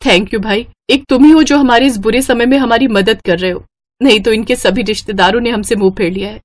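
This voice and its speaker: A woman's voice: on the high side, a little fast, no echo, clean studio sound, natural voice. A woman voicing a worried, plaintive married sister in a moral story. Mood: grateful, sad. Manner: anxious, plaintive. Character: worried, pleading married sister short of money. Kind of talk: Hindi moral story voice acting.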